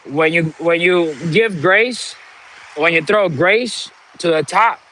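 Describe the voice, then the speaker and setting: Slow, preachy man. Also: fairly high pitch, bright, forceful tone, poor audio. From Dominican Republic. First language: Spanish. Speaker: livestream host. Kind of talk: motivational live-stream talk.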